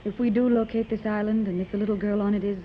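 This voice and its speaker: A woman's voice: medium pitch, fairly even intonation, fast talker, natural voice. An actress playing a wealthy, gracious and anxious mother in a 1930s radio serial, earnest and refined. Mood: anxious, hopeful. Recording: dull, noisy 1930s radio recording.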